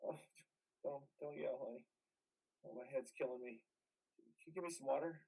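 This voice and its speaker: A man playing a haunted, groggy army veteran in a play reading, speaking haltingly. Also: medium pitch, gravelly, dark tone, breathy edge, rough recording. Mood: groggy, pained. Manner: halting, distressed. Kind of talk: live amateur play reading.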